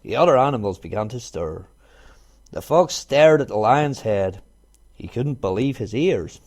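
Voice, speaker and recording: A man reading a children's storybook aloud in an expressive narrating style. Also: mid-range voice, a little slow. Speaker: storybook reader.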